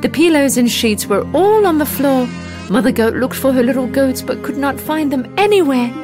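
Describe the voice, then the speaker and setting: A woman narrating a children's fairy tale in an expressive, dramatic storytelling manner. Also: high-pitched, normal speed, over music.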